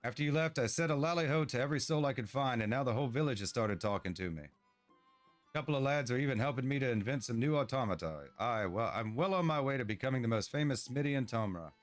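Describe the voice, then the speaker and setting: A man's voice: mid-range voice, expressive, fast talker, close to an everyday voice. Guy doing a proud eager young dwarf tinkerer from a game, kinda awkward, music behind. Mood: excited, proud. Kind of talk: storybook-like game dialogue read aloud.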